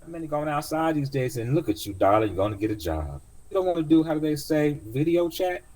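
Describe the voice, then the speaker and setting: A man's voice: sing-song, quick pace, darkish tone. A man voicing an older woman taxi driver, mystical and sassy, who calls everyone darling in a drawling, folksy way. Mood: teasing. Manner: drawling, folksy sayings, high put-on voice.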